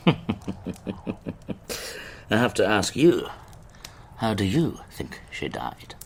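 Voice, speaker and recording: A cunning, theatrical eccentric in a Victorian radio melodrama. He declaims floridly and mockingly, his pitch leaping and plunging freely over a faint noisy background. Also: huge pitch swings, extremely slow, gravelly, exaggerated voice. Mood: sly, excited. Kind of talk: radio drama acting.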